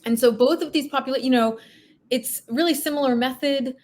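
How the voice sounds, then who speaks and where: A woman's voice: high voice, fairly quick, a little mellow. A woman historian speaking as a guest, explaining research in a thoughtful, conversational academic way.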